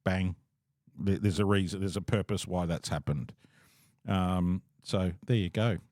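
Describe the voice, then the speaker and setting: A man's voice: sits low, unhurried, a little mellow, no room sound, clean studio sound. A man hosting a casual podcast interview, rambling with lots of fillers and frequent laughs, talking over a call. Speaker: podcast host.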